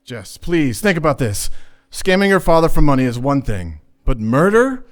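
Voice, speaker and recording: Anxious soft-hearted accomplice, acted by a man, highish voice. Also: sing-song, moderate pace. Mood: pleading. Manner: earnest, anxious. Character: soft-hearted, reluctant male accomplice, pleading. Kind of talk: noir radio play acting.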